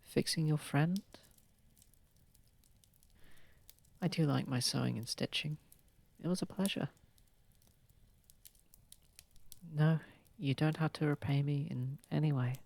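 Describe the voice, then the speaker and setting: A man voicing a gentle, courtly old vampire in an ASMR roleplay, speaking softly and tenderly, often close to a whisper. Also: a little high, dry recording. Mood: warm, pleased. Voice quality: soft, breathy, slightly gravelly, often near whisper. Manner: tender, polite, unhurried, soothing. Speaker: ASMR voice actor. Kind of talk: ASMR roleplay, soft intimate acting. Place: close, dry recording. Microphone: close microphone.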